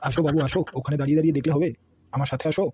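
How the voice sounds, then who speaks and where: A man's voice: a little high, very fast, background noise, natural voice. A man voicing a scheming, foul-mouthed servant in a comedy cartoon, sly, crude and grumbling. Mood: coaxing.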